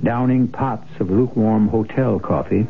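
Lowish dramatic radio narrator, man. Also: gravelly, a little hiss or hum. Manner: measured, dramatic, literary. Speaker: host and narrator. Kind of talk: scripted old-time radio drama narration. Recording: muffled, band-limited old radio recording. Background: music.

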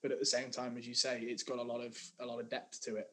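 A man's voice: medium pitch, little pitch movement, fast talker, a little hiss or hum. A young man giving a thoughtful, agreeable opinion on a song, often restarting his sentences. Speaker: podcast co-host. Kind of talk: casual music review chat.

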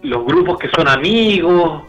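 A Chilean man with a forward, bright voice that sits a little high speaks as a guest in a casual interview. He is colloquial and candid, pausing to reflect as he goes. Faint music plays behind him. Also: lively intonation, lo-fi sound. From Santiago, Chile.

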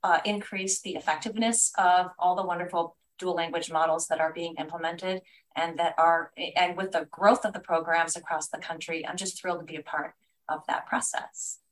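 A woman's voice: on the low side, steady tone, normal speed, rough recording. Enthusiastic American woman, business owner. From United States. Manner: enthusiastic, warm about her work, speaks in lists. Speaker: guest, language education consultant. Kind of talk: podcast interview answers.

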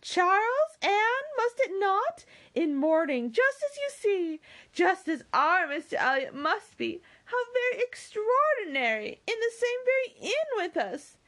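A woman voicing an excitable, self-important young gentlewoman who gushes in exclamations, in a novel reading. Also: very high-pitched, unhurried, voice pushed up, exaggerated voice. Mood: excited, astonished. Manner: gushing, exclamatory.

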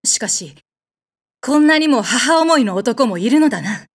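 Imperious goddess, animated, higher-pitched, blunt commands, protective underneath, comedy radio play, music behind. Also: female voice, moderate pace. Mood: solemn. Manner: imperious, commanding, curt masculine phrasing. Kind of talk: Japanese comedic audio drama acting.

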